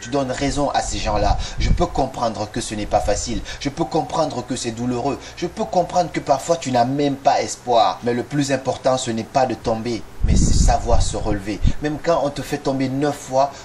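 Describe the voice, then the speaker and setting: A man's voice: mid-range voice, moderate pace, few pauses, a little mellow. Earnest man giving a motivational talk. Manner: direct, addresses listener as tu, rhetorical questions. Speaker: motivational video host. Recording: noisy recording with clicks.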